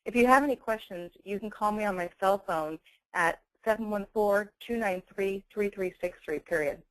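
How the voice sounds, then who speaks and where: A woman giving a webinar software demonstration, enunciating clearly as a presenter. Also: mid-range voice, moderate pace, dry recording, low-quality recording. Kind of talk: webinar product demonstration with dictation. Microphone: close handheld speech mic.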